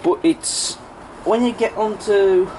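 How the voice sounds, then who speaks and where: A British man reviews casually to camera in a somewhat high voice, made croaky and congested by a cold. He explains things in a rambling, informal way. There is faint noise behind him. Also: slow pace, warm tone, low-quality recording. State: has a cold, sore throat. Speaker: model railway video reviewer.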